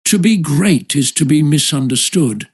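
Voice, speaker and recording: A man narrating an audiobook of a nineteenth-century essay in a measured, formal literary manner. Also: a little high, lively intonation, a little mellow.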